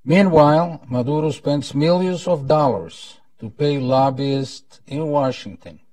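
Older male host, Costa Rican accent, very slow and deliberate formal delivery, soft dark tone. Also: a little high, dry recording, recorded from a distance. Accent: Costa Rican Spanish. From Costa Rica. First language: Spanish. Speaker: event host, former ambassador. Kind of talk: formal prepared welcome speech. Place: indoor conference room at a lectern. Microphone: lapel mic.

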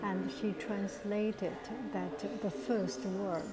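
Middle-aged woman, slow and deliberate, hushed critical museum commentary, gallery crowd murmuring behind. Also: medium pitch, flat delivery. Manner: critical, hesitant, whispering at times. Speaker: vlogger touring a museum. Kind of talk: casual spoken commentary. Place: indoor art museum gallery. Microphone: earphone mic, phone camera held at arm's length.

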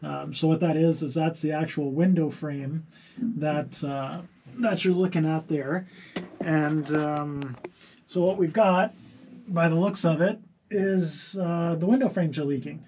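A man on a boat, explaining casually and haltingly to camera with many pauses and fillers. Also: on the high side, steady tone, a little mellow, a little hiss or hum. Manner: halting, lots of ums and uhs. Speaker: boat-living vlogger.

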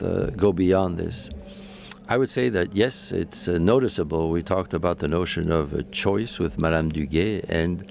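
A man giving live interpretation of a panel discussion, halting, with frequent fillers and self-corrections. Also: low voice, creaky, darkish tone. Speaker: simultaneous interpreter.

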